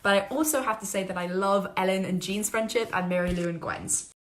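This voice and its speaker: A woman's voice: medium pitch, brisk, a little hiss or hum. A teenage girl from New York City with a mostly British accent, answering fan questions in a bubbly, giggly, chatty vlog from her bedroom. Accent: British with occasional American traces. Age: teens. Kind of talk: casual Q&A vlog. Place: bedroom at home. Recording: echoey room.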